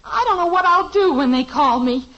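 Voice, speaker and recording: An actress playing a fretful, melodramatic teenage daughter in a 1950s radio sitcom, on a dull lo-fi old radio recording. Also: female voice, very high voice, expressive, moderate pace, background noise. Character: anxious dramatic teenage daughter.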